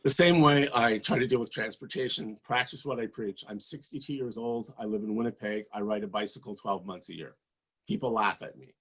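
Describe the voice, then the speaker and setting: Man in his sixties, husky voice, passionate, emphatic political speech. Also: lowish voice, brisk, rough recording. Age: 60s. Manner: passionate, emphatic, rising to forceful peaks. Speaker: political candidate.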